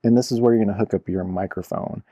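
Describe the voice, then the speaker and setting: Guy doing a casual product review, gravelly voice, dry close sound, explains stuff informally. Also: lowish voice, fairly even intonation, fast talker, warm tone, no room sound. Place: indoor room at a cluttered computer desk.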